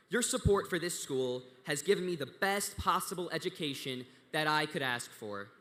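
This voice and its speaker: A man's voice: a little high, pushed and bright. Teenage boy reading a prepared speech. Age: teens. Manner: reading earnestly from a script. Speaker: student speaker. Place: large banquet hall. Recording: reverberant hall.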